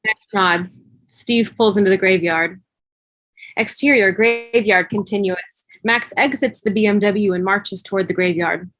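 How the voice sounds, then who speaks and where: A woman narrates scene headings and action from a script. Her voice sits at a medium pitch and is recorded dry, with no room sound. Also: a little slow, a bit bright, dry recording, poor audio. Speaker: narrator reading stage directions.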